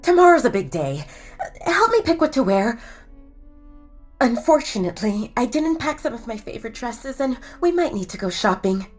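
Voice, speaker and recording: A proud, uneasy young alpha's daughter. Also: female voice, fairly high pitch, big pitch swings, moderate pace, soft background music. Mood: brisk. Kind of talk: audio drama acting.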